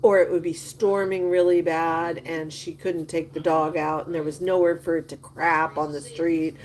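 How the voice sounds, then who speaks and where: An older woman hosting a livestream, chatting with viewers and telling rambling personal stories with many pauses and fillers. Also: low voice, level tone, a little mellow, rough recording. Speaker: livestream host. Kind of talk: casual livestream chat answering viewer comments.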